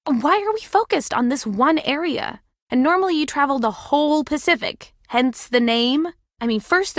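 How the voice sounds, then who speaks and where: A woman's voice: high-pitched, a bit bright, no echo, studio quality. A woman playing a friendly, keen young sleuth's sidekick in a mystery video game. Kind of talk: scripted video game voice acting.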